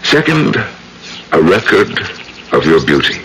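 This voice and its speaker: An actor playing a brooding, enigmatic gothic portraitist and ghostly storyteller, theatrical and deliberate, in old-time radio drama. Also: male voice, rumbling low, big pitch swings, creaky, fairly bright tone, slight background noise, heavily acted, poor audio. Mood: courtly, enigmatic. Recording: old radio broadcast with music bed.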